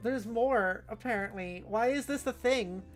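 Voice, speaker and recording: A woman's voice: on the high side, a little slow. A woman hosting a casual livestream, playful and sweary, reacting aloud with music behind her. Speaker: livestream host. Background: faint music.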